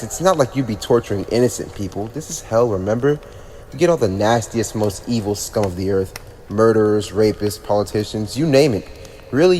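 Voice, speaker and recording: A teenage demon prince of hell played by a man, smug and teasing with a playful menace, speaks in a lowish male voice. He addresses the listener in chatty yet mock-formal ASMR roleplay fashion. The sound rings with long reverb over clearly audible noise. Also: a little mellow, natural voice. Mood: teasing, menacing. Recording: echoey reverberant room with clear background noise.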